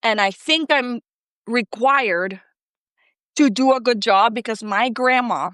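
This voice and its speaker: A woman in her thirties chats casually about herself, slipping in lots of filler words. Her voice sits a little high and sounds smooth. The recording is dry and close. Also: takes it slowly, a bit bright, no room sound. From Colombia, raised in Ecuador. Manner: chatty, self-deprecating, many "like" fillers. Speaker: guest. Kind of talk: casual chat.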